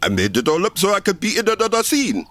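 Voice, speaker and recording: A man's voice: high-pitched, wildly swooping pitch, quick pace, fairly bright tone, dry recording, exaggerated voice. An actor playing a sly, cheerful male hotel servant and musician with a Caribbean accent, polite and playful, in a comedy audio drama.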